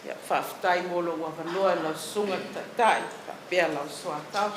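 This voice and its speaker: A man delivering formal ceremonial oratory in a measured, reciting manner. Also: high-pitched, fairly even intonation, background noise. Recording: roomy echo.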